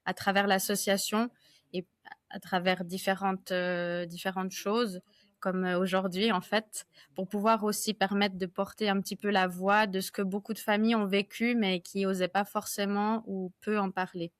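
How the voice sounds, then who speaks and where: A woman in her thirties speaks as a guest on a podcast, in a measured way with some fillers. Her voice stays flat in pitch and sounds smooth and clear. The sound is dry and close. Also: dry recording. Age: 30s. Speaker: guest, association co-founder. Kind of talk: casual podcast interview.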